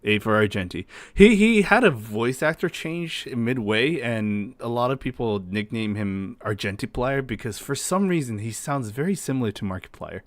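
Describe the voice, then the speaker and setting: A man's voice: mid-range voice, expressive, normal speed, hardly any pauses, no echo. A man hosting a casual gaming tier-list commentary, explaining things in a chatty, rambling way. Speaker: host of a tier-list video.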